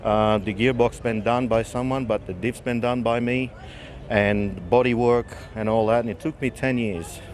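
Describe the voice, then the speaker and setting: A man's voice: medium pitch, fairly even intonation, normal speed, a little mellow, slight background noise. A man from Slovakia with a Slovak accent, a car owner describing his build in a plain, matter-of-fact way at an outdoor show.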